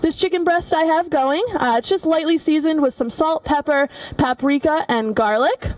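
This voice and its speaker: A woman's voice: high voice, moderate pace, a little mellow, dry recording. A young woman giving a cooking demonstration in a large studio kitchen, explaining each step with frequent fillers. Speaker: culinary student demonstrator. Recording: voice from far off the mic.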